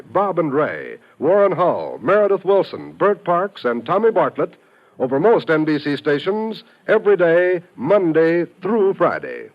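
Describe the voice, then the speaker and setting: A man with an American accent reading scripted promos and credits as a 1950s radio announcer. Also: a little high. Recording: dull old radio broadcast recording. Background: faint music.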